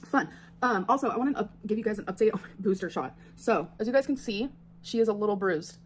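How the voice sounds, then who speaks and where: A woman's voice: medium pitch, expressive, quick pace. A young woman vlogs from a room at home, rambling casually to the camera with plenty of fillers. A faint hiss sits under her voice. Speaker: vlogger. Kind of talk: casual vlog talk.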